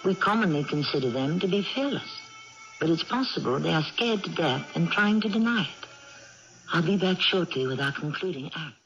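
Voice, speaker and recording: Animated, higher-pitched male radio host. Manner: deliberate, ruminative, rhetorical. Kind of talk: scripted narration to listeners. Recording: muffled old radio broadcast with music.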